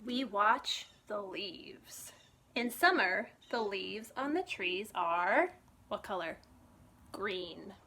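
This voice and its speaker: A woman, a children's librarian, reads a picture book aloud to young children in an expressive storytime manner, putting questions to the listeners. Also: medium pitch, takes it slowly, slight background noise.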